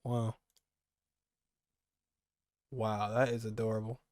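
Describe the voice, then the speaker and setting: A man's voice: lowish voice, flat delivery, slow pace, darkish tone, no room sound, clean studio sound. A young man giving casual, rambling reaction commentary as a gaming streamer, repeating phrases for emphasis.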